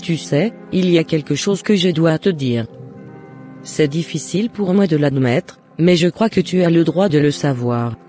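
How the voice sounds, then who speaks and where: Man voicing a high-pitched jealous neighbour woman. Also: normal speed, darkish tone, soft background music, natural voice. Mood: remorseful confession. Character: jealous, scheming woman neighbour turned remorseful.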